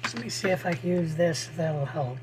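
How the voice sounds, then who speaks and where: Hoarse, high-voiced male lecturer. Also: noisy background. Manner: slow, halting, with frequent "uh" pauses. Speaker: college biology instructor. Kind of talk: online lecture over screen share. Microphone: computer mic on a video call.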